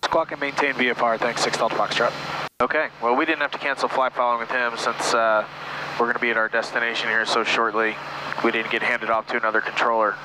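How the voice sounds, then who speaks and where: Clear engine noise sits behind a man talking on an aviation headset in a small plane. His voice is average in pitch. He moves between clipped, procedural radio calls and casual flying instruction. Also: level tone, fast talker. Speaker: private pilot and flight instructor giving tips. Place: small airplane cockpit in flight. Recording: noisy aircraft cockpit, engine drone.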